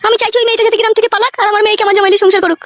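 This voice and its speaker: A woman's voice: very high voice, level tone, rapid-fire. A woman voicing a plaintive, insistent middle-aged village mother-in-law in a comic cartoon. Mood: aggrieved, determined.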